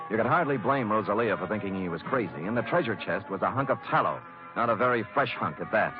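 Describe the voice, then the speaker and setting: An actor playing a wry, hard-boiled private detective, with sardonic, clipped delivery in 1940s radio noir style. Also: male voice, fairly low pitch, natural voice. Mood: wry narration. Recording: dull old radio broadcast recording.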